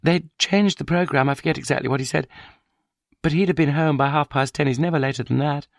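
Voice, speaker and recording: A resentful, sharp-tongued wife in a wheelchair, as a male narrator puts her on, pitched well above his own deep voice. She speaks in a raised, accusing tone in a dry, close audiobook recording. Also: quick pace, gravelly, dry recording. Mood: bitter. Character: bitter, vindictive middle-aged wife in a wheelchair. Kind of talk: audiobook character voice.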